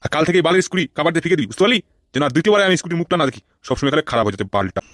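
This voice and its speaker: Man acting a blustering cartoon gangster, high voice, animated delivery, coarse. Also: rapid-fire. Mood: irritated, scolding. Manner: blustering, coarse, swearing constantly. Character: scheming, foul-mouthed male gangster and political rival in comedy cartoon. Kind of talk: Bengali comedy cartoon dubbing.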